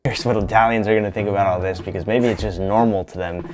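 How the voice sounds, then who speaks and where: A young American man with a low voice talks through a scripted vlog, playful and a bit self-deprecating. Faint music sits under his voice. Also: fairly even intonation, fast, a little mellow. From United States. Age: young adult. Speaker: YouTube creator.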